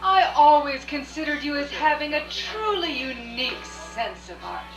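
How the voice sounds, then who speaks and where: An affected society lady played by a woman in a radio drama, gushing and quick to take offence. Her voice is high and swooping, heard at a distance over music. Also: animated. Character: gushing, easily affronted society woman, art admirer. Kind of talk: live radio play acting. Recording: roomy, distant, music behind, old rehearsal tape.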